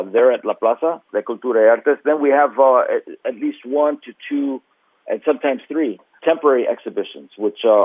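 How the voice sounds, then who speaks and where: A man, a museum's marketing director, answering radio interview questions in a halting way with many fillers and restarts. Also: medium pitch, dry recording. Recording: tinny phone line.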